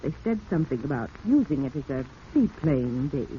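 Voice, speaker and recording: An actress playing a trusting, genteel wealthy wife with an unhurried, affectionate manner in a 1940s radio drama. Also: female voice, a bass voice, very animated. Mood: relaxed, fond. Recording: muffled, hissy 1940s radio recording.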